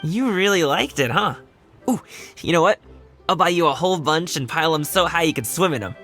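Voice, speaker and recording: Lively young courier guide. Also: male voice, a little high, fairly quick. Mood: cheerful. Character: friendly, casual young courier guide, slightly sheepish. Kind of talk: video game voice acting. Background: music.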